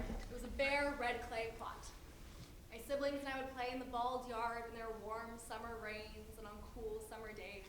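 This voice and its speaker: A woman's voice: fairly high pitch, sharp tone. A young woman performer delivering a vivid storytelling monologue on stage to a live audience. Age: young adult. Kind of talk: theatrical monologue. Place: theater space with a live audience. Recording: echoey theater with faint noise.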